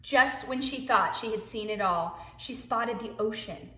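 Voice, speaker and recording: A woman, a preschool teacher, reading a picture book aloud to young children in an expressive way, with hushed asides. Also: a little high, measured pace. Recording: echoey room.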